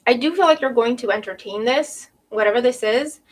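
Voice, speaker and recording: A woman's voice: a little high, brisk. A woman giving a live tarot reading to viewers, losing her voice with a cold, thinking aloud and often checking in with "right?". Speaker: tarot reader.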